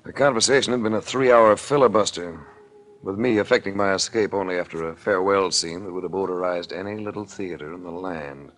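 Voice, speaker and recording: A man's voice: low-pitched, a little fast, natural voice. An actor playing a wry, world-weary male investigator in a 1940s radio drama, speaking in a dry, sardonic, unruffled way. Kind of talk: 1940s radio drama acting and first-person narration. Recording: noisy old 1940s radio recording.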